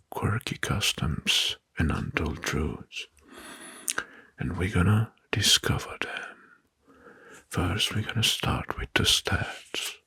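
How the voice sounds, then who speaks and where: A man narrating history as an ASMR host in a breathy whisper, slowly and deliberately, repeating words, close to the mic. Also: sits low, sharp tone. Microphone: close microphone.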